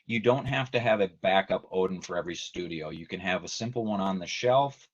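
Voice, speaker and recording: A man giving a measured, methodical technical explanation as a trainer in an online webinar. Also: low voice. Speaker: technical trainer, company presenter. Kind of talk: explanatory webinar presentation, semi-scripted. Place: online video meeting. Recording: dull lo-fi video call audio.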